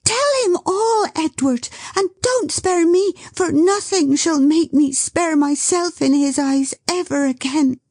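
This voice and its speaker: Dot, a woman voicing a breathless excited young wife. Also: husky, no echo, studio quality, exaggerated voice. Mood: pleading. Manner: rushed, fluttering, high-strung.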